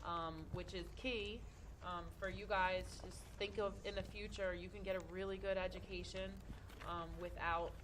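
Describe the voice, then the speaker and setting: A woman from Delaware, a nurse guest, speaking in a friendly, hesitant way to young listeners with many pauses and fillers. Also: fairly low pitch, steady tone, a bit bright, a little hiss or hum, rough recording. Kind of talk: casual talk to young audience.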